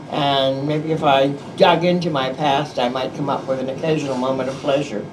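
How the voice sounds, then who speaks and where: A woman's voice: sits low, background noise. An older woman, a cabaret performer in a small nightclub, with a wry, self-mocking manner. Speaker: cabaret singer. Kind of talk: live cabaret singing with spoken banter. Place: small dim restaurant nightclub. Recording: roomy, distant amateur live recording with piano. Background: piano accompaniment.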